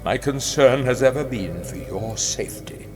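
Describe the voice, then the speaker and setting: An actor playing a proud, menacing rabbit ruler in a fantasy game, speaking in pompous, theatrical oratory. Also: male voice, medium pitch, animated, normal speed, close to an everyday voice. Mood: falsely reassuring. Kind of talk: dramatic fantasy game acting. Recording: long hall reverb, music underneath. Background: music.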